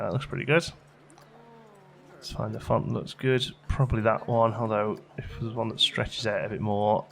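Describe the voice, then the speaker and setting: Low, creaky man musing aloud. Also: slight background noise. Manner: musing aloud, chatty, self-questioning. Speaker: let's play host. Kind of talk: casual gameplay commentary.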